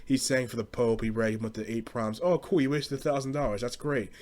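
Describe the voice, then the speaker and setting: A man's voice: mid-range voice, a little fast, a little mellow. A young American man rambling through a casual, profane comedic rant as a podcast host, in slangy speech. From southeastern Pennsylvania, US.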